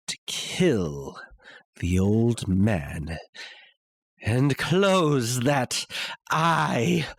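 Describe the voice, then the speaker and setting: A breathy, husky madman confesses in a gothic horror tale, acted out by a male narrator. He speaks extremely slowly, with long theatrical pauses, and his pitch swings widely as he insists on his sanity. The sound is close and dry. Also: no echo, exaggerated voice. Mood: grim resolve. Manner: halting, theatrical, with long pauses and sudden swells. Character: obsessed madman confessing a murder, insisting he is sane. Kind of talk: dramatic reading of a classic horror story.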